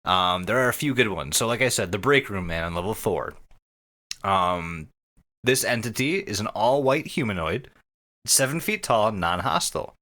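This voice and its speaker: Lively, bright-voiced man on a podcast. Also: on the low side, moderate pace. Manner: profane, joking. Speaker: podcast co-host. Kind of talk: casual comedic podcast chat.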